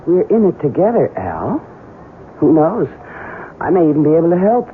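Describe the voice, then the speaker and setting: A woman's voice: low-pitched, sing-song, moderate pace. An actress playing a coolly scheming female accomplice in a radio drama, smug, coy and self-possessed. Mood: coolly coaxing. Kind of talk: 1970s radio drama acting. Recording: noisy muffled old radio broadcast.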